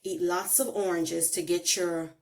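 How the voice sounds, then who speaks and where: Slow, low-voiced Bahamian woman storyteller. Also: steady tone, a little mellow. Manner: slow, deliberate, reflective delivery with emphatic pauses. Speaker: vlogger telling her life story. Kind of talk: personal storytelling to camera. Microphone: phone on a holder.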